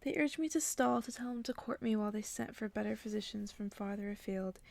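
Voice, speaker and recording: Hushed, whispering ASMR woman with creaky edges. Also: mid-range voice, brisk, dry recording. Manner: hushed, soft, mostly whispering. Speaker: ASMR creator reading stories to listeners. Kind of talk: whispered ASMR bedtime story reading with casual asides.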